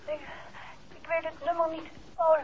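An actress in a 1960s Dutch radio play playing a detective's dazed, fragile and affectionate wife, speaking haltingly. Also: female voice, very high-pitched, completely flat delivery. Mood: dazed, frightened. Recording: dull, noisy old radio recording.

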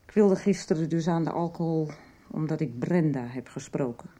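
An actress in a radio soap playing a troubled, wry mother and recovering alcoholic, middle-aged and halting. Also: low-pitched, mellow. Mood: anxious, confiding.

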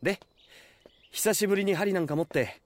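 An actor playing a casual, confident, teasing husband in a Japanese audio drama. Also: male voice, high-pitched, normal speed, no echo, close to an everyday voice.

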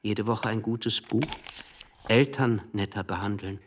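A man's voice: on the low side, measured pace, a little hiss or hum, lightly acted. An actor playing an earnest, polite and loyal young man in radio play dialogue. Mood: subdued.

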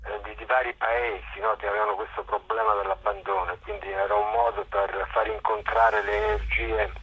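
Man, middle-aged, bright forceful tone, rough-edged husky voice, chatty hesitant explaining, muffled phone-like interview recording. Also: lowish voice, big pitch swings, fairly quick. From Molise, Italy. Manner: hesitant, self-correcting, chatty storytelling. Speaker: interview guest, general coordinator of a walking event. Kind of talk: informal explanatory interview talk. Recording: dull, telephone-like radio interview line.

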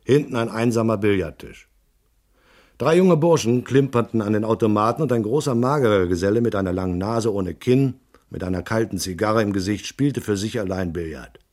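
An actor playing a hard-boiled, laconic detective in a noir radio drama, narrating in a terse, deadpan way. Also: male voice, lowish voice, fairly quick. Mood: dry, weary. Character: hard-boiled male private detective, laconic and world-weary.

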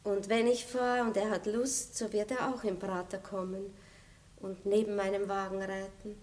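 An actress playing a gracious, aging aristocratic lady in a 1960s radio play, speaking softly with a tinge. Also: mid-range voice, flat delivery, natural voice. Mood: brisk, commanding. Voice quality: soft, measured, melancholy. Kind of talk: 1960s radio drama acting.

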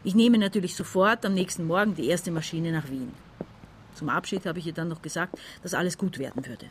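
An actress playing a resentful, calculating daughter in her forties, giving a measured, confessional radio-drama monologue. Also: on the low side, close to an everyday voice. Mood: controlled, calculating. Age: middle-aged. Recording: ambient noise behind the voice.

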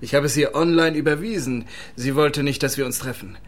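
An actor playing a shifty, bargaining informant in a radio crime play. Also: male voice, on the high side, fairly quick, natural voice. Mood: concerned. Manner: haggling, coy, swooping intonation.

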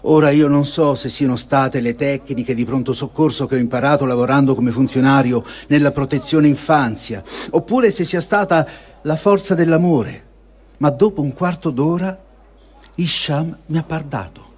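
A man with a fairly high, husky voice reads a personal diary aloud as a dramatic piece. His delivery is solemn and measured, with emotive weight and pauses between short phrases. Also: darkish tone. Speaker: reader of a testimony. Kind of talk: dramatic reading of first-person war diary.

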